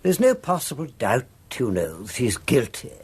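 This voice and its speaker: English academic type, husky, gossipy and insistent, really lively pitch, radio play. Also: male voice, moderate pace, heavily acted. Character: earnest, insinuating academic man passing on college scandal. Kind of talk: radio drama dialogue.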